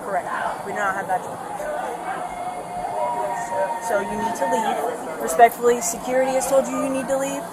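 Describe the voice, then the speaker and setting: A woman's voice: a little high, normal speed, long pauses, very noisy. A woman police deputy giving orders in a firm, curt, procedural way. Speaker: sheriff's deputy. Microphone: police bodycam. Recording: bodycam with loud crowd and music. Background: crowd, music, street.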